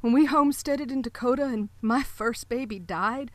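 An actress playing a nervous, timid sheriff's wife in a radio play, speaking haltingly with anxious little laughs, recorded in a home closet. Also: female voice, fairly high pitch, no room sound, natural voice. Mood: solemn. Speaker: Mrs. Peters, sheriff's wife. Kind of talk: live radio play acting.

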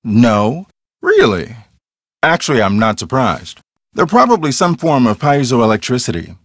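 A man's voice: medium pitch, sing-song, measured pace, dry recording, studio quality. An actor playing an earnest, self-assured male ghost hunter in a mystery video game, explaining things in a matter-of-fact, conversational way. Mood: earnest, explanatory. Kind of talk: acted video game dialogue.

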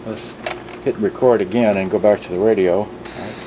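A man's voice: on the low side, brisk. A middle-aged man giving a casual hands-on product demonstration, rambling and thinking aloud in an offhand way. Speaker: gadget reviewer. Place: indoors at a table, handling devices. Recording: noisy room with steady background hum.

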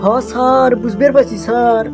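A man's voice: extremely high pitch, flat delivery, moderate pace, over music. A man with a Bogura accent from northern Bangladesh voicing a cheeky, naive young pupil in a comic cartoon, addressing his teacher. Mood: humbled. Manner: argumentative, puzzled, addresses teacher respectfully.

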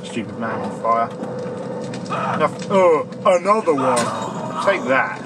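A man's voice: medium pitch, big pitch swings, takes it slowly. A young man commentating on a horror game as he plays, joking and yelping at the enemies that jump out. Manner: jokey, yelps and swears at enemies. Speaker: gameplay commentator. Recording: distant mic with loud game noise. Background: loud game sound.

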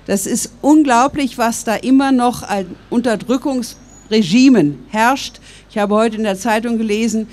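An older woman from Berlin giving a measured, earnest public speech outdoors into a stand microphone. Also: fairly high pitch, a little mellow. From West Berlin, Germany. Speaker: public speaker. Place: outdoors by stone columns.

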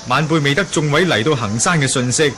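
An actor playing a courteous martial-arts envoy in a Hong Kong Cantonese radio drama, ceremonious and obliging. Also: male voice, medium pitch, fairly quick, bright, forceful tone, lightly acted. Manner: ceremonious, polite. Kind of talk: 1980 radio drama acting. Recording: noisy old radio drama recording.

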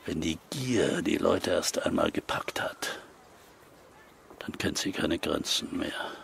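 A brooding, seasoned male investigator in a crime radio play. His low voice is extremely gravelly, and he ponders slowly and sceptically. There is faint noise behind him. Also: fairly bright tone, full character voice. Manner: deliberate, pondering.

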